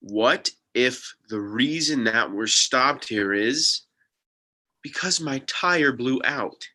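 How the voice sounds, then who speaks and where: A man's voice: medium pitch, animated, slow, sharp tone, exaggerated voice. A man playing a skeptical, practical young sidekick in a script read, with a wry, casual way of talking. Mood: sarcastic, doubtful. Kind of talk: table read of a TV pilot script.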